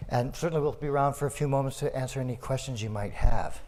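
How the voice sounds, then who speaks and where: A man's voice: medium pitch, fast, a little mellow. A man speaking to an audience as a live storyteller and performer. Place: community hall performance.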